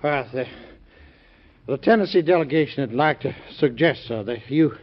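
An actor playing a deferential male political delegate who speaks hesitantly with halting pauses, in an old-time radio drama. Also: medium pitch, expressive, lightly acted. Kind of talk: 1940s radio acting. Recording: dull old radio recording.

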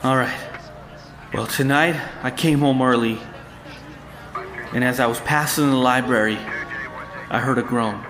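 An actor playing a distraught, pleading young man accused of murder in an audio drama, speaking haltingly. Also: medium pitch, over music, close to an everyday voice. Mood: shaken, grieving. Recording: echoey room with clear background noise.

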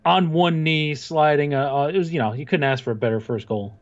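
A man's voice: a little high, brisk, lo-fi sound. A man co-hosting a podcast, chatting casually about sports. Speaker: podcast co-host.

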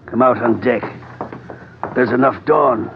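A man acting a grim, shrewd old colonial official with a gravelly voice and terse, ominous delivery in an old-time radio adventure. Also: mid-range voice, slow pace, noisy background. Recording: muffled vintage radio recording. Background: faint dramatic music.

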